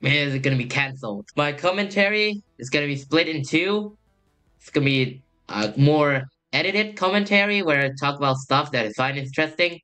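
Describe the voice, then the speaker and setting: Bright-voiced young man rambling over gameplay. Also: a little high, expressive, normal speed, smooth, faint music behind. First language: Thai. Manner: rambling, hesitant, trails off with "I guess". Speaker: youtuber. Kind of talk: casual gameplay commentary.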